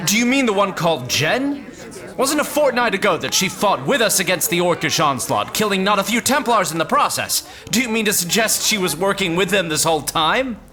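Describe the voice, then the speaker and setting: A man's voice: sits high, big pitch swings, brisk, a crowd in the background. A man playing a loyal, earnest soldier in a fantasy audio drama, speaking formally. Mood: earnest, doubtful.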